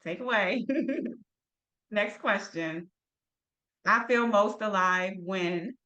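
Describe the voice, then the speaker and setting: A woman's voice: medium pitch, very slow, muffled recording. Affirming woman podcast host. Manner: affirming, soft back-channel responses. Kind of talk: interview hosting.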